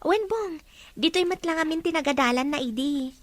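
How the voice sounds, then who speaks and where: A woman with a Filipino accent acting a caring teenage high school girl in a radio drama. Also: expressive, moderate pace, no echo. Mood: friendly.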